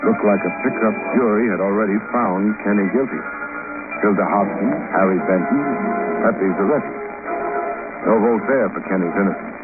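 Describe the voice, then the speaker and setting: A man's voice: lowish voice, moderate pace, over loud music, natural voice. An actor playing a cool, skeptical private investigator in 1950s radio detective style, dry and world-weary as he probes and narrates. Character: cool, skeptical male private investigator, hard-boiled and wry. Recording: scratchy, noisy, narrow-band 1950s radio recording.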